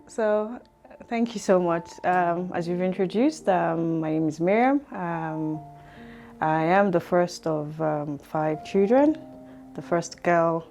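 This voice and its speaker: A woman's voice: fairly low pitch, slow pace, darkish tone, faint music behind. A Nigerian woman in her thirties, a guest telling her life story in a hesitant way, with many ums, in a studio.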